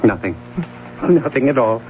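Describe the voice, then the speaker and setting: A man's voice: medium pitch, lively intonation, normal speed, slight background noise, close to an everyday voice. An actor playing an anxious, defensive middle-aged father who stammers and hesitates, in a 1970s radio drama. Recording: lo-fi old radio broadcast.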